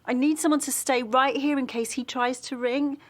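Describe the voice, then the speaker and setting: A woman's voice: high-pitched, steady tone, moderate pace. A woman playing an earnest, protective mother in an audio drama. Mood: anxious. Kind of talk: naturalistic audio drama acting.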